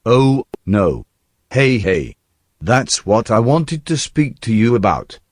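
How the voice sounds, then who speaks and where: A man's voice: medium pitch, big pitch swings, slow, dry recording, studio quality. A man playing a nervous, obsequious store underling with a hesitant, fawning manner in a radio drama. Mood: ingratiating. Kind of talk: old radio drama acting.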